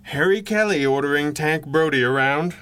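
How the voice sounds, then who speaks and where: A man playing a stern crime-fighting hero who gives brisk, authoritative orders in a radio drama. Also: on the high side, a bit bright, clean tone, natural voice. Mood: commanding. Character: commanding masked male crime-fighting hero, stern and clipped. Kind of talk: 1930s-style radio drama acting.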